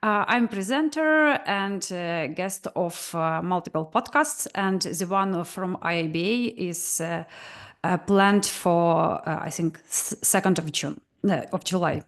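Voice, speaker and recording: A woman's voice: fairly low pitch, measured pace. Hesitant woman presenting. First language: Ukrainian. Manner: hesitant, many "uh" fillers. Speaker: guest presenter. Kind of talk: online presentation. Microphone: video call.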